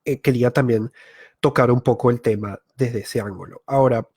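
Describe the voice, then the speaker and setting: A man speaks in a middle-pitched voice during a live-streamed masterclass. He thinks out loud, using filler sounds and restarting phrases as he goes. The sound is dry and close, with no room echo. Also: steady tone, slightly rough. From Venezuela. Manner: thoughtful, self-correcting, many filler pauses. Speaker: YouTuber giving a live online masterclass.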